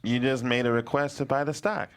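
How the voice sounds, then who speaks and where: A woman's voice: extremely low pitch, steady tone, brisk, smooth. A woman reading the part of a sensible teenage son at a script table read. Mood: urgent. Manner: urgent, practical.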